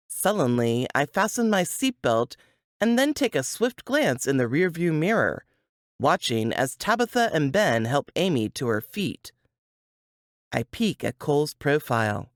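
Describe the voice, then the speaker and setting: A woman reading a first-person novel aloud as its author, with deliberate, dramatic phrasing. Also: low-pitched, no echo, studio quality. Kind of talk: expressive first-person fiction narration.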